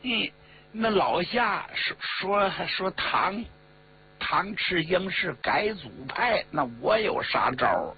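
A man voicing a reluctant, evasive soldier in a told story, halting and apologetic as he follows orders. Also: sits high, very animated, breathy edge, pitched above the performer's own voice, exaggerated voice. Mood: helpless, evasive. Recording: muffled radio-like recording with mains hum.

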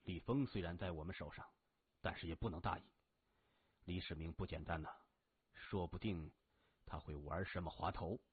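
A man acts out a young male crime boss for an audiobook, his voice low and hushed. He speaks in a measured, menacing way with a quiet sneer, through thin phone-like audio. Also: slightly rough, dry recording. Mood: quiet, assured. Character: cold, mocking young male gang boss.

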